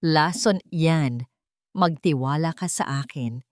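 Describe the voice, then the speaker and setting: A woman's voice: deep voice, slow pace, dry recording, clean studio sound. Woman narrator as urgent elderly Filipino nanny. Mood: grave warning. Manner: urgent, commanding. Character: elderly female household nanny, urgent and protective.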